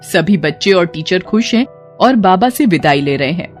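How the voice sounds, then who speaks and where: A woman's voice: on the low side, animated, moderate pace, faint music behind. A woman delivering scripted narration for a horror story.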